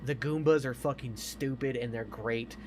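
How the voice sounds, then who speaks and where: A man's voice: mid-range voice, normal speed, a little hiss or hum. An American man in his thirties, a podcast co-host chatting casually and emphatically about films, with plenty of swearing.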